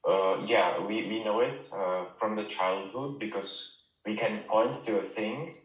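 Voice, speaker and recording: Man from Czechia, very deep voice, student sharing in class, roomy distant sound. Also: unhurried. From Czech Republic.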